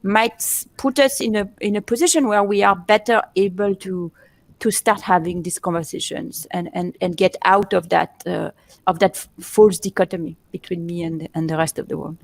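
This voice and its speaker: A woman's voice: on the low side, fairly even intonation, moderate pace, creaky, dry recording. Woman searching for words, dry sound. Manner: searching for words, frequent restarts. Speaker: panelist. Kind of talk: panel discussion answer.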